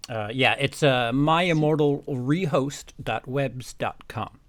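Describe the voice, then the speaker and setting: A man co-hosting a comedy reading, chatting and joking casually, often halting as he searches for words. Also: mid-range voice, dry recording. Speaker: co-host reading the male parts. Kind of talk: casual chat and joking commentary.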